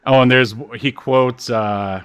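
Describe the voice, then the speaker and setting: A man's voice: mid-range voice, fairly even intonation, takes it slowly, clean tone. A man co-hosting a podcast in casual comedic chat, jokey and given to acting out quotes. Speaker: podcast co-host. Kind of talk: casual comedic chat about a reality TV show.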